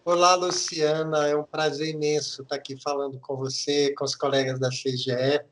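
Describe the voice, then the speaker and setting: A middle-aged man from Minas Gerais with a mineiro Brazilian accent, explaining a topic in a podcast interview with measured, hesitant restarts. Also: fairly high pitch, little pitch movement, a little hiss or hum. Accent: Minas Gerais Brazilian Portuguese. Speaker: guest auditor.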